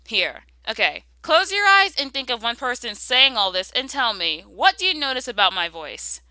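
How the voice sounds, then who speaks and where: A woman's voice: a little high, sing-song, moderate pace, sharp tone, dry recording. A woman hosting a casual question-and-answer voice-over, with a blunt, sassy manner that flips between sweet and glum. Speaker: YouTuber host answering viewer questions.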